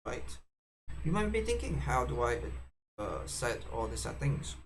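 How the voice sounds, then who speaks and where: A man presenting a casual, unscripted how-to demonstration, haltingly, often repeating and restarting his phrases. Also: mid-range voice, very animated. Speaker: tutorial presenter. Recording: clearly noisy background ambience.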